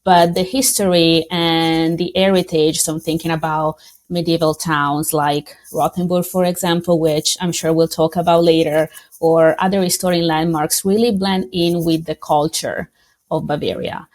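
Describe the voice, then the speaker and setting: A woman from Italy with an Italian accent, answering interview questions as a tourism representative in a measured, explanatory way. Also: low voice, steady tone, a little mellow. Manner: explanatory, measured, with small slips.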